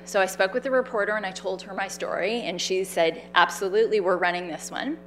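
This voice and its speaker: A woman tells her own story at an event, in an animated, conversational way. She has a loud voice and acts out the people in her story. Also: medium pitch, fairly quick, a little hiss or hum, low-quality recording. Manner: animated, conversational, with fillers and quoted dialogue. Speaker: speaker sharing her story. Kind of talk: personal storytelling talk. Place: event stage.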